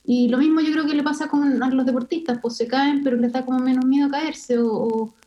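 A woman's voice: sits high, steady tone, brisk. Hesitant Chilean woman, muffled sound. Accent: Chilean Spanish. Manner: thoughtful, hesitant with many fillers. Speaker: illustrator guest. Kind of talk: casual interview conversation.

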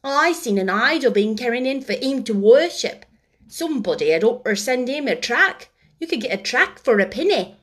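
A woman's voice: fairly high pitch, sing-song, normal speed. A woman voicing an indignant, gossipy young servant girl with emphatic, ungrammatical speech in a storybook reading. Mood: indignant gossip.

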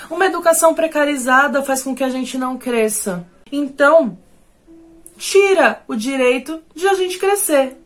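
A Brazilian woman with a Brazilian Portuguese accent performing spoken word poetry in a declamatory way, with sudden drops and surges in pitch and loudness.

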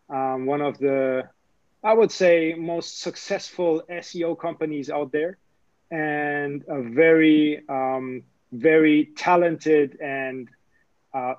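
Halting male podcast host, dry sound. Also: on the high side, a little mellow, no room sound, muffled recording. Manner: halting, many fillers and restarts. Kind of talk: casual interview.

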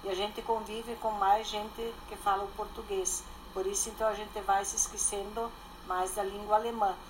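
An older woman speaks plainly and matter-of-factly in a casual talk. Her pitch is ordinary for a woman. Faint ambient noise sits behind her voice. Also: level tone, moderate pace, rough recording. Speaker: interview guest. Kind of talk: casual conversation.

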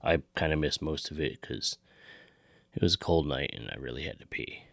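Super deep voiced guy cohosting, laid back, dry close sound, just chatting. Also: moderate pace, gravelly, dry recording. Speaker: show co-host. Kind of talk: casual podcast chat.

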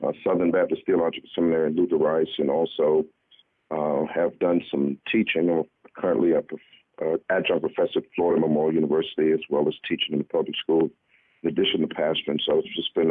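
An older man in his sixties from the Bahamas, a guest pastor talking in an earnest, measured, halting interview style. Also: low-pitched. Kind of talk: conversational radio interview. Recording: thin, muffled phone line.

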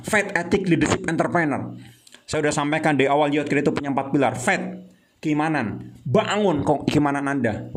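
A man in his thirties giving a heated motivational talk as founder of a youth religious movement, mixing in English terms and Arabic phrases. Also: medium pitch.

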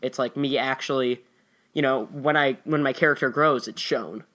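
A man's voice: medium pitch, moderate pace, sharp tone. A young American man chatting casually as an actor guest on a podcast. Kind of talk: casual chat.

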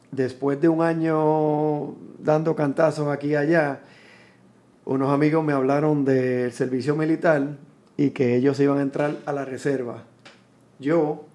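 A Puerto Rican man in his fifties, a military veteran, telling his life story in a reflective, unhurried way, often restarting his sentences. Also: a little high, gravelly, dark tone. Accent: Puerto Rican Spanish. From Puerto Rico.

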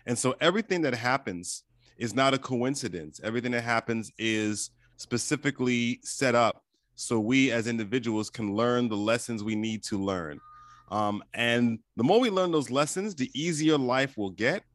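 A man's voice: medium pitch, normal speed, a bit bright, no echo. Brief: man in his 40s, candid and laid-back, close dry recording. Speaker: conversation partner. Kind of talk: casual chat.

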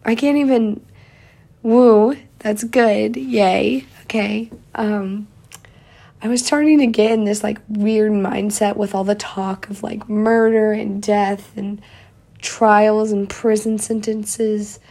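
A woman's voice: mid-range voice, fairly even intonation, takes it slowly, gravelly, dark tone. A young woman, hosting a casual solo podcast, a little stuffy from a cold and chatty with lots of fillers. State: slightly congested from a cold. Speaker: podcast host.

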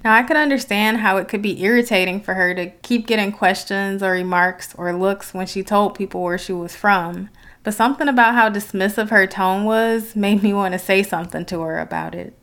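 A woman's voice: medium pitch, fairly quick, a bit bright. A woman from Detroit with an American accent, hosting a reflective solo podcast in an articulate, conversational way. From Detroit, United States. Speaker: podcast host.

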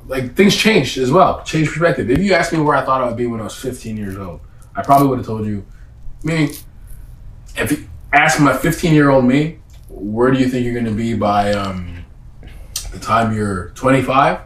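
A young adult man talks casually as a guest in a conversational interview. His delivery is slangy and emphatic, with repeated phrases, at an average male pitch. The room around his voice is clearly echoey. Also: lively intonation, normal speed. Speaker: interview guest, comedy event host.

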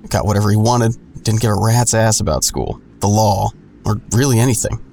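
World-weary sardonic narrator, gravelly, dry asides, some noise behind him. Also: male voice, lowish voice, a little fast, darkish tone. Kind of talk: first-person noir-like audio drama narration.

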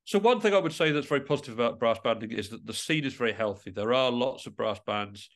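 Thoughtful man from England, medium pitch. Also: animated, quick pace. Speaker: interview guest, musician. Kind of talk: casual reflective interview talk.

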